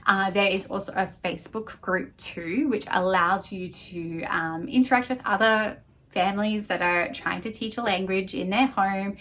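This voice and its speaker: A woman in her thirties giving a casual, conversational review to camera as a homeschooling parent, softening her points as she goes. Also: mid-range voice, moderate pace. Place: small home office, indoors. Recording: clear background room noise.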